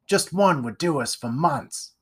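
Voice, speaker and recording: A scheming, greedy poor mother from a storybook, voiced by a male narrator. She speaks slowly and low in a sly, conspiratorial way, in rough common speech. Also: expressive, fairly bright tone, full character voice.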